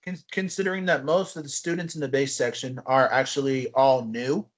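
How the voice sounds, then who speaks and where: A young man co-hosting a casual podcast, telling stories in a rambling, animated way with frequent restarts. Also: mid-range voice, moderate pace. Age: young adult. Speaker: podcast co-host. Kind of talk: casual chat.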